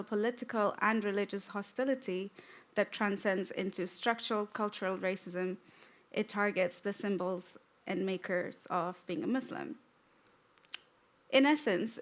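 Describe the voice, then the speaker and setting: Tanzanian-born young woman on a conference stage, laid back, wry asides, normal pitch. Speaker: conference speaker. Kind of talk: prepared talk with light humor.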